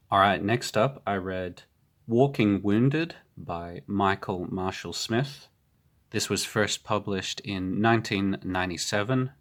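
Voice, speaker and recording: Man with a cold, deep voice, unhurried delivery; casual book-review host, plain-spoken with ums and hedges. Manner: relaxed, plain-spoken, with fillers and hedging asides. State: has a cold.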